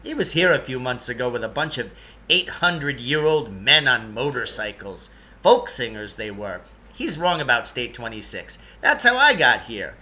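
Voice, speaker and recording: A man's voice: medium pitch, sing-song, normal speed, pushed and bright. A man voicing an eccentric, brusque and impatient grown man in a children's novel, speaking emphatically and dismissively. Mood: scornful. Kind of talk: children's novel read-aloud.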